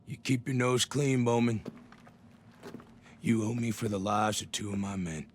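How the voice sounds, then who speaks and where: A man plays a vengeful, stern figure in a video game. The voice is fairly low and menacing, with faint ambient noise behind it. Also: measured pace, close to an everyday voice. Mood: threatening. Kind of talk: video game cutscene acting.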